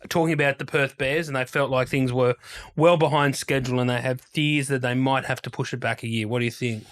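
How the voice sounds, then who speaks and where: A man with an Australian accent talks sport as a podcast co-host. His pitch sits in the middle range for a man. He speaks in a casual, halting way, leaning firmly on the points he wants to make. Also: fairly even intonation.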